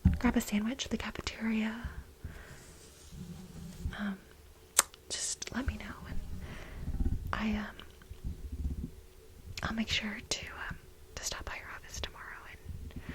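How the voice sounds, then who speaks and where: A woman playing an infatuated, jealous young research assistant to her boss in an ASMR role play, breathy, halting and gushing. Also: fairly high pitch, close to an everyday voice, rough recording. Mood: flustered, lovestruck. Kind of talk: soft-spoken whispered ASMR role play.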